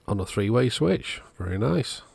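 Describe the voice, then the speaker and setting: A man's voice: medium pitch, very animated, moderate pace, gravelly, darkish tone, breathy edge, dry recording. A man narrating a repair job in a casual, matter-of-fact, understated way. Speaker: host of electronics repair channel.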